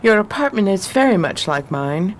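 An actress playing a polite, curious 1920s widow with a taste for adventure in a radio play. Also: female voice, mid-range voice, sing-song, normal speed, slight background noise, natural voice. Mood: polite, sociable.

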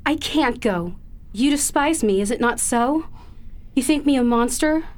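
Young daughter, very high voice, earnest and emotional radio-play melodrama woman acting. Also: animated, measured pace. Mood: earnest, wounded. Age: young adult. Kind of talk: melodramatic audio drama acting.

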